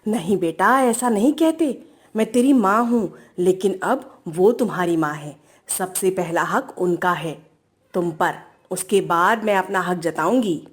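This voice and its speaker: A woman playing a gentle, concerned older mother who softly reproves her grown child in a family story. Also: on the low side, a little slow, voice pushed down, close to an everyday voice. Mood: gently reproving.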